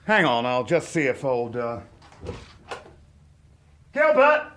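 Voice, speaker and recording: A man's voice: medium pitch, huge pitch swings, slow, fairly bright tone, slight background noise, exaggerated voice. A man acting in a British radio drama as a flustered, evasive middle-aged Englishman, hesitant and blustering. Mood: awkwardly jovial. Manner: hesitant, bluff, stammering evasions.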